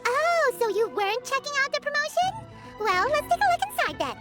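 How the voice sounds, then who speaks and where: A tiny fairy sidekick from an anime game with an extremely high, squeaky voice. The delivery is bubbly and childlike, swinging wildly in pitch, over prominent music. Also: female voice, big pitch swings, fairly quick. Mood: curious. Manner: bubbly, refers to self in third person. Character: tiny excitable childlike female floating fairy companion. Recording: background music under the voice.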